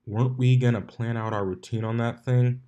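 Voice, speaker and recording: A man voicing a sarcastic, put-upon male pegasus stallion with a deadpan delivery in a story reading. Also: medium pitch, moderate pace, muffled recording, lightly acted. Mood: puzzled, indignant.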